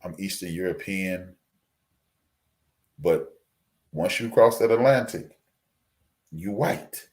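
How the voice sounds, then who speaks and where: A man's voice: sits low, slow, pauses often. A man reviewing a film on a podcast, talking in a reflective, lecturing way with frequent restarts. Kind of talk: casual discussion.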